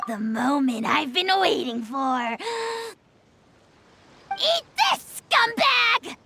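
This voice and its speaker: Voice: high-pitched, big pitch swings, drawn-out words, pauses often, background noise, full character voice. An actor playing a small, arrogant, cackling dragon in a video game, haughty and taunting. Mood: vicious glee. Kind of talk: video game character acting. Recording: loud background music.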